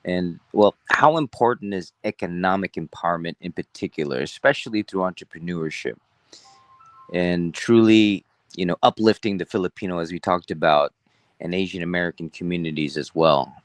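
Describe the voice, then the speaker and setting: Deep-voiced man hosting an interview. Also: normal speed, no echo. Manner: encouraging, affirming interviewer. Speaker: podcast host. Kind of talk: podcast interview.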